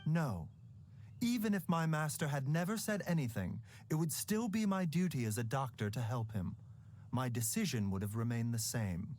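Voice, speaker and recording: An actor playing a calm, enigmatic young male doctor in a video game, speaking in a measured, unhurried way with a sly, teasing edge. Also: mid-range voice, close to an everyday voice. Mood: firm.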